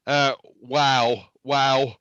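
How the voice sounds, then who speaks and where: A man giving enthusiastic remarks at a live online event, halting often with fillers and restarts. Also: mid-range voice, flat delivery, a bit bright, smooth, no room sound, lo-fi sound. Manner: enthusiastic, hesitant with many fillers. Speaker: event participant giving feedback.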